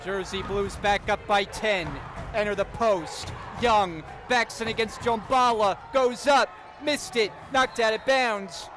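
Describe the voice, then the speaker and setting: A man calling a college basketball game play-by-play, getting louder and excited on big plays. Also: high-pitched, slow. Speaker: play-by-play announcer. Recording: crowd and court noise behind the commentary.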